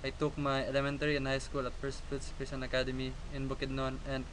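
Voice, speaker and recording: Young man from the Philippines, student presenter, monotone, stumbling reads, faint hiss. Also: mid-range voice, poor audio. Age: teens. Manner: reads slides haltingly, with restarts. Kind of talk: class project presentation.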